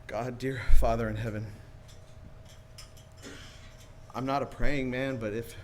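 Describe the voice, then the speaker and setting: A man's voice: mid-range voice, steady tone, fairly quick, a little hiss or hum, natural voice. Man playing a desperate, stammering young family man. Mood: praying, broken. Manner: stammering, pleading. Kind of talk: 1940s radio play acting.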